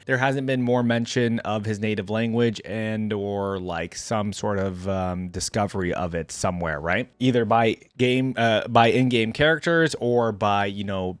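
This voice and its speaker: A man with a mid-pitched voice speaks as a casual online reaction host. He rambles conversationally, tentatively feeling out his thoughts and talking straight to his viewers. The sound is dry, with very little room in it. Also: no echo. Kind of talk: casual reaction commentary to camera.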